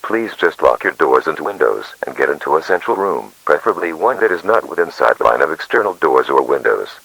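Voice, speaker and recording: A man delivers a scripted emergency alert in an extremely low, gravelly voice. His pitch moves up and down noticeably as he reads, and the sound is close and dry. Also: big pitch swings, fairly quick, fairly bright tone, no echo, low-quality recording.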